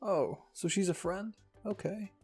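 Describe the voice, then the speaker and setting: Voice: medium pitch, a little slow, a little mellow, breathy edge, pitched above the performer's own voice. A narrator voicing a brash, curious teenage boy in a fan fiction reading, blunt and teasing. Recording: music behind the voice.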